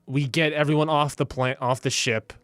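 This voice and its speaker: A man bantering casually as a player in a tabletop game, sardonic and sweary, talking in halting bursts. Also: fairly high pitch, steady tone, fairly bright tone, smooth, no room sound, clean studio sound.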